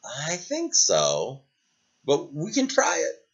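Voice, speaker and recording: Earnest knight, commanding and lively, played by a man, lo-fi muffled sound. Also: on the high side, slow, heavily acted. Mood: scheming. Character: scheming brave male knight. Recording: dull lo-fi recording.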